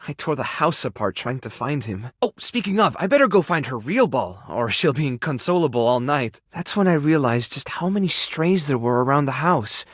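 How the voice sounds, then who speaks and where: An actor playing an earnest, caring male animal rescuer in a video game, gentle, hesitant and easily flustered. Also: on the high side, dry recording, clean studio sound, full character voice. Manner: hesitant, soft-hearted, coaxing. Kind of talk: expressive dramatic game voice acting.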